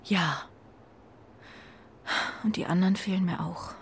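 A woman playing a homesick, loyal carrier pigeon in a children's radio play, speaking in a dreamy, hesitant, breathy way. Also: fairly low pitch, steady tone, unhurried, heavily acted. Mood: wistful.

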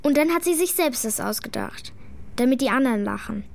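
A woman's voice: high-pitched, lively intonation, a little fast, gravelly. A female performer playing an earnest, frank schoolgirl in a children's radio play, speaking in a matter-of-fact, childlike way. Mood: earnest, hurt.

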